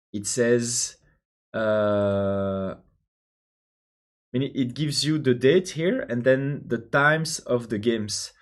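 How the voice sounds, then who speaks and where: Slow-talking Belgian French man coding. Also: mid-range voice. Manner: hesitant, many ums and restarts, answers chat questions. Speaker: programmer live-streaming his coding. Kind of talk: thinking out loud while coding.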